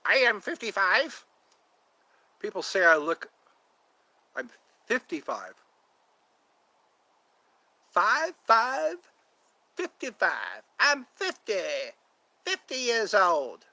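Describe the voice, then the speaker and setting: Fifty-something guy rambling on his livestream, kinda high bright voice, drifts topic to topic. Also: huge pitch swings, slow, long pauses, no echo. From United States. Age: 50s. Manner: chatty and meandering, often breaks into quoted voices. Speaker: livestream host. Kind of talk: rambling casual livestream chat with viewers.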